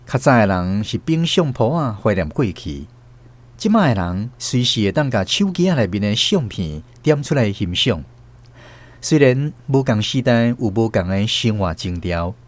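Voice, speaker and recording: Animated Taiwanese man narrating radio stories. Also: on the low side. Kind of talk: expressive scripted storytelling narration.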